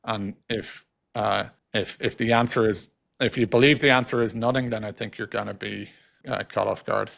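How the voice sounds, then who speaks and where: Middle aged guy being interviewed, kinda low gravelly voice, stops and restarts a lot, pretty even tone. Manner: hesitant, halting, frequently repeating words. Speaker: podcast guest, technology executive. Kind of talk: thoughtful interview conversation.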